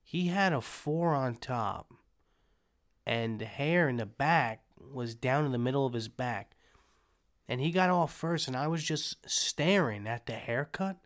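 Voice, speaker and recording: A man's voice: mid-range voice, animated, unhurried. A young man co-hosting a casual podcast, telling a rambling story full of swearing and "you know what I mean". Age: young adult. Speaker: podcast co-host. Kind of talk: casual chat.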